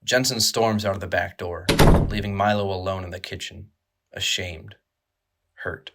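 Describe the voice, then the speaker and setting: A man narrates a horror audio drama in a dramatic, measured storytelling style. His voice is on the low side, with a bright, tense edge. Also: fairly even intonation, slight background noise.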